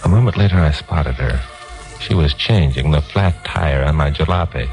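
Deep-voiced hard-boiled radio hero. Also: male voice, moderate pace, music in the background. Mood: tense, matter-of-fact. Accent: American. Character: confident, teasing hard-boiled newsman hero and narrator. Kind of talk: 1950s radio crime drama narration and dialogue. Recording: old 1950s radio recording.

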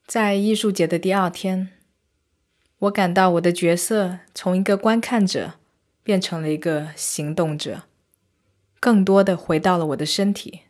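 Lower-pitched woman narrating an audio diary. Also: measured pace, a little mellow. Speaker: podcast host and narrator. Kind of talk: scripted first-person audio diary narration.

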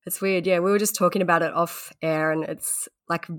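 Congested low-voiced woman podcaster. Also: brisk, dry recording, studio quality. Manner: relaxed, chatty, laughs often. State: congested, blocked-up nose. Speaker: podcast co-host. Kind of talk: casual chat.

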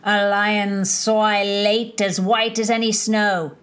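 A woman's voice: medium pitch, little pitch movement, slow, a bit bright. A woman voicing a vain, drunken young male earl and poet, declaiming grandly in a storybook reading.

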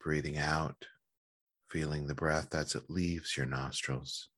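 A man leading a guided meditation in a calm, gentle, measured manner with long pauses. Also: extremely low pitch, slightly rough, no room sound, clean studio sound.

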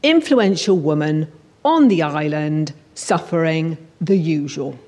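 A woman with a slightly low voice reads her own crime story from a stage. She delivers it as an expressive storyteller, in the first person, as a writer at a live literary reading. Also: slow pace. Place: on stage at a live showcase event in a hall.

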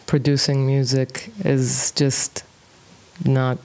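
A relaxed woman in her thirties, speaking as a guest in a conversational interview. She thinks aloud as she goes, pausing on plenty of fillers. Her delivery stays easygoing and unhurried. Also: a bass voice. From Kuwait. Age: 30s. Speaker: interview guest, music producer.

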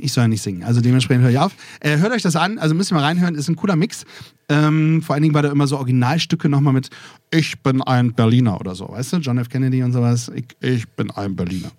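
A man's voice: medium pitch, fast talker, a little mellow, dry recording, clean studio sound. A man from Germany hosting a live podcast in front of an audience, chatting playfully and drifting off topic. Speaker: podcast host. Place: festival recording room with a live audience.

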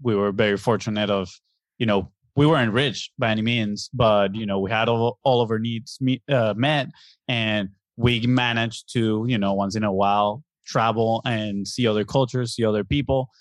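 A man's voice: lowish voice, steady tone, moderate pace, no echo. A man in his thirties from Venezuela, earnestly explaining his views as an interview guest and often checking in with "right?". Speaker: guest, business co-founder. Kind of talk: casual interview conversation.

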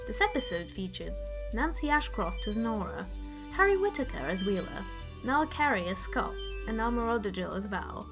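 A woman's voice: medium pitch, sing-song, moderate pace. A woman reading scripted end credits as an announcer. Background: music.

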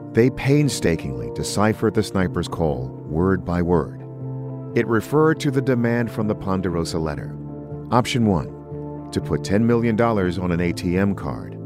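A man hosting a podcast, delivering scripted true-crime narration. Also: low-pitched. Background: music bed.

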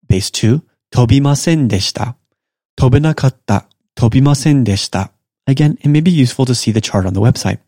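A man's voice: medium pitch, mellow, dry recording, clean studio sound. A man hosting a podcast lesson, explaining step by step and repeating each example in a casual, self-joking way. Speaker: Japanese language teacher and podcast host.

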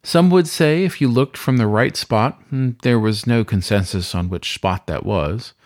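A man's voice: medium pitch, a little slow, darkish tone, dry recording, studio quality. A man narrating a scripted short story in a dramatic storytelling style.

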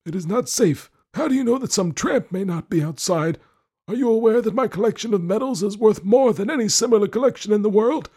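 A man's voice: high-pitched, lively intonation, brisk, mellow, slightly rough, voice pushed up. A man voicing a miserly, suspicious old lord in an audiobook, snarling, mocking and domineering. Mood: suspicious, scolding. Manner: snarling, grumbling, mocking, nagging. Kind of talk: audiobook character voice.

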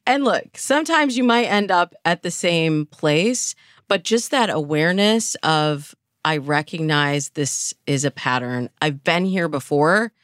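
Woman dating coach, notably low register, explanatory interview delivery with illustrative self-talk, very dry recording. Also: animated, measured pace, a bit bright, no echo. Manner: explanatory, illustrates with imagined inner dialogue. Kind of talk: podcast interview, explaining advice.

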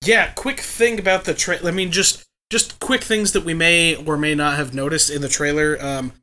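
A man co-hosts a podcast in an easygoing, chatty way, sounding stuffy from allergies. His voice is bright and sharp, sitting a little higher than most men's. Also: fairly even intonation, moderate pace, a little hiss or hum. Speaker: podcast co-host. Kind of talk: casual chat.

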